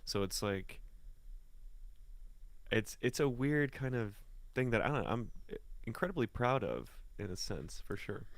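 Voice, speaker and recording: Low-voiced American man, gravelly creak, casual storyteller, slangy and animated, dry close sound. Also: a little slow, long pauses, no echo. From St. Louis, Missouri, United States. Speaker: podcast guest, singer and musician.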